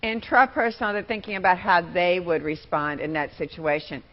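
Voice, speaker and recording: A woman giving a teaching talk as a workshop trainer, with deliberate, emphatic phrasing. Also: lowish voice, fairly even intonation, dull audio.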